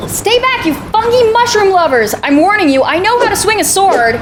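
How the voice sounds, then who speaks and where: A woman's voice: very high-pitched, big pitch swings, normal speed, heavy background noise. An actress playing a feisty young woman swordfighter in a fantasy audio drama, spirited and dramatic. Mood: defiant. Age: young adult.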